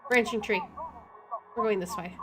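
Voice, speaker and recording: A woman's voice: medium pitch, fairly even intonation, normal speed, music in the background. A woman doing playthrough commentary and reading game text aloud, with a playful, wisecracking manner. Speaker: video game let's player.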